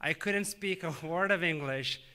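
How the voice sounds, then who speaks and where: Earnest official in his thirties from Tanzania, native Swahili speaker, on a podium speech; very bright tone, a touch high. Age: 30s. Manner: earnest, measured, with frequent "uh" fillers. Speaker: government official giving a speech. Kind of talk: prepared public speech.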